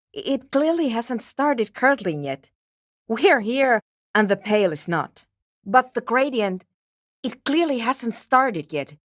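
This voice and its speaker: A woman voice actor playing a driven, intellectual woman programmer in a video game, with a brisk, matter-of-fact, technical way of talking. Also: a little high, fairly bright tone, no room sound, studio quality, exaggerated voice. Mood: puzzled, analytical. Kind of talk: scripted game character dialogue.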